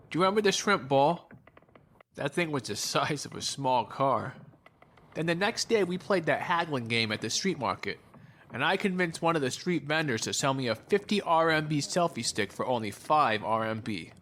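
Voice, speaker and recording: A man's voice: mid-range voice, animated, normal speed, bright, forceful tone. Guy acting a chipper smart-aleck lawyer in an audio drama, flippant, boastful, kinda sweary. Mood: chipper, teasing. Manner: breezy, sarcastic, profane.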